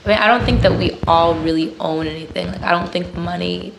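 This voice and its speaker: A woman's voice: on the low side, steady tone, fairly quick, gravelly. A young woman answering casually in an interview, full of filler words. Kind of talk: personal interview testimony. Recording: noisy recording.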